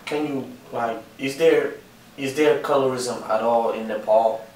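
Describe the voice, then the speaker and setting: A man interviews a guest with polite, plainly worded questions. His voice sits at a middle pitch and is picked up from some distance. The room around him is echoey. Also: measured pace, a little mellow, slight background noise. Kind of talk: casual student interview.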